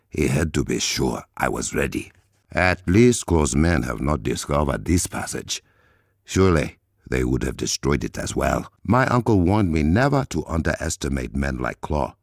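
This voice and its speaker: A man playing a noble, resolute warrior king in a video game, with an African accent, a deep gravelly voice and formal, measured diction. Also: no room sound, studio quality, heavily acted.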